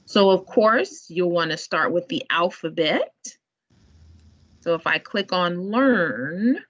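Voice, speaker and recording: A woman from the library staff demonstrating a language database in an online tutorial, in a relaxed, chatty way. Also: on the low side, slow. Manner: relaxed, chatty, laughs often. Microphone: video call. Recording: muffled online meeting audio.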